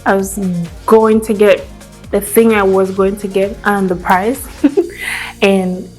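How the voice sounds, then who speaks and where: A young woman whose first language is Hausa chats to camera in an unhurried, middle-pitched voice. She thinks aloud with little hums and trailing asides while she eats. Soft music plays faintly under her talk. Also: flat delivery. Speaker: vlogger. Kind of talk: casual chat.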